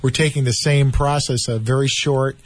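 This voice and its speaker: Husky-voiced male musician guest. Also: steady tone, normal speed. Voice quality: low singing voice. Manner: rambling, thinks aloud.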